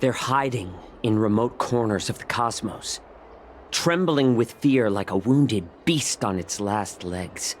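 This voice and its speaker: An actor playing a composed, clever captive in a video game, calm and measured, with a coolly taunting edge. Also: male voice, on the low side, slight background noise, close to an everyday voice. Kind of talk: dramatic video game voice acting.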